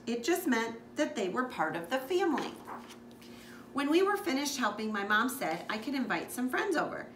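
A woman reading a children's picture book aloud expressively, as a school librarian. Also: on the high side. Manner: expressive, with asides to listeners. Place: living room, on a couch indoors. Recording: echoey room with background noise.

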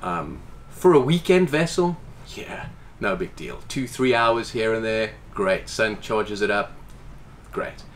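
A man's voice: fairly low pitch, slow, a little hiss or hum. A man in his forties, a boat owner, explaining casually in an interview inside a boat's salon, gesturing as he talks. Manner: animated, gestures with hands while explaining.

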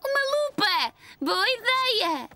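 Bright, very high-voiced little girl. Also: sing-song, slow, dry recording, faint music behind, exaggerated voice. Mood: eager, playful. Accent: European Portuguese. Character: lively, confident little schoolgirl in a preschool cartoon.

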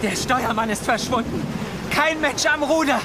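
A woman's voice: sits high, normal speed, very noisy, natural voice. A woman playing a terrified, pleading ship's mate in an audio drama, breathless with panic. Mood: terrified, frantic. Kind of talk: German audio drama acting. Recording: loud sea waves and ship din. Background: surf, waves, loud ship ambience.

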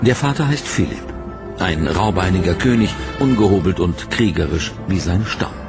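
Male doc narrator, low gravelly voice, lively delivery, music underneath. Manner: dramatic, measured storytelling. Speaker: documentary narrator. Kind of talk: scripted documentary narration.

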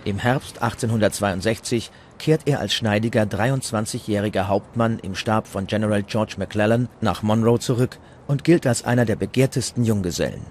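A man's voice: on the low side, normal speed, hardly any pauses, darkish tone, slight background noise. A man narrating a historical documentary from a script. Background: music.

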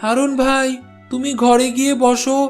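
A man's voice: extremely high pitch, level tone, normal speed, creaky, soft background music. A man voicing a kindly, helpful village porter who reassures his friend in a cartoon.

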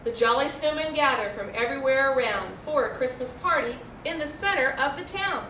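A middle-aged American woman with a high, bright voice reads a children's storybook aloud, articulating carefully and expressively. She is recorded at a distance in an echoey room. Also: animated, brisk. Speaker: librarian reading a picture book aloud. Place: indoor room, seated before a backdrop. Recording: roomy, distant mic, faint noise.

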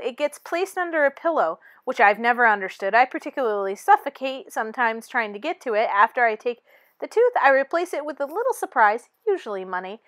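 A woman's voice: high-pitched, a bit bright, no echo, studio quality. A woman voicing a breezy, chatty tooth fairy who gushes like a presenter in a storybook.

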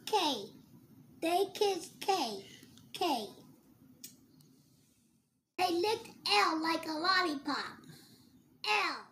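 Very high-pitched child reading a storybook. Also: expressive, drawn-out words, long pauses, bright, forceful tone, a little hiss or hum. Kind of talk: reading a picture book aloud.

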